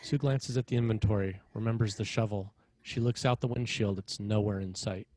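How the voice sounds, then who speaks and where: A man's voice: lowish voice, fairly even intonation, brisk, dark tone, no echo, low-quality recording. A man reading scripted stage directions as the narrator of a screenplay.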